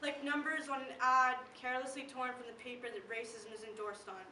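High, bright young woman performing slam poetry. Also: level tone, fairly quick. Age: teen or young adult. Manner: impassioned, emphatic, rhythmic delivery. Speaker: slam poet. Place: live poetry event in a room. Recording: echoey room, distant mic, faint noise.

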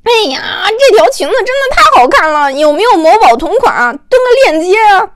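Lady doing excitable cutesy fangirl for an audiobook, really high pitched, gushy. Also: normal speed, slight background noise. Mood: gushing. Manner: cutesy, gushing.

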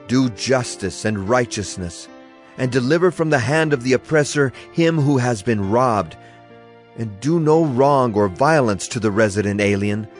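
An actor playing a stern prophet pronouncing divine judgment, solemn and declamatory, in a dramatized scripture reading. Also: male voice, mid-range voice, natural voice. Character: stern male Hebrew prophet pronouncing God's wrathful judgment.

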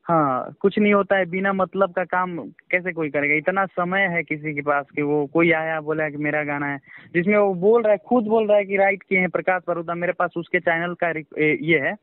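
A man speaks over a thin, dry telephone line in a fairly high voice. He is being interviewed informally, and he answers defensively, halting and repeating his phrases as he goes. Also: no echo. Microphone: phone call. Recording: tinny, dry phone-call line.

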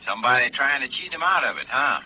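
A man's voice: medium pitch, expressive, fast, background noise, close to an everyday voice. An actor playing an easygoing, chummy male trapper who talks in colloquial, ungrammatical phrases in a 1950s radio drama. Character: easygoing male New Orleans muskrat trapper, chummy old buddy. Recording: phone-like old radio recording.

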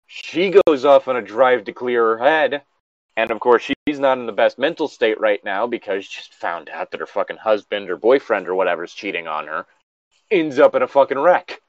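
A man's voice: mid-range voice, expressive, moderate pace, dry recording, lo-fi sound. A man casually reading a story aloud and commenting on it in an exasperated, sweary way. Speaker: co-host reading and mocking an online horror story.

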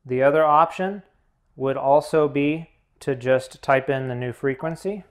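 A man hosting a tutorial, giving hands-on instructional narration in a measured, methodical way. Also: medium pitch. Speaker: tutorial host.

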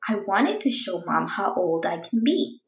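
A woman voicing an eager, determined young girl reading out her storybook diary in an earnest, expressive way. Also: lowish voice, moderate pace, creaky, echoey room, slight background noise, voice pushed down. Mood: excited.

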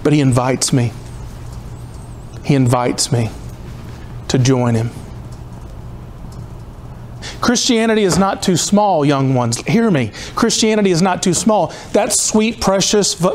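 A man's voice: on the high side, lively intonation, normal speed, a little hiss or hum. A man in his fifties preaching a sermon in a church hall, impassioned and pleading, with rhythmic repetition. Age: 50s. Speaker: pastor. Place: church hall in front of a cross.

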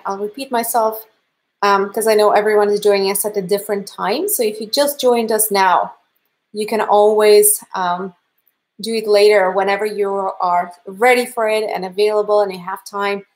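A woman's voice: medium pitch, fairly even intonation, moderate pace. A woman in her thirties with a Russian accent, chatting in a friendly, upbeat way as she wraps up a livestream painting class from a home studio. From Canada. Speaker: painting instructor. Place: home art studio, indoors.

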